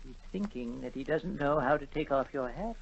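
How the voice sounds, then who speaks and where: A patient, proper royal courtier in an old radio play. His voice sits at a middle pitch, with formal, slightly fussy diction. The sound is muffled and dated. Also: animated, normal speed, gravelly, slight background noise. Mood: explaining. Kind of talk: 1940s radio acting. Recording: dull vintage 1940 radio recording.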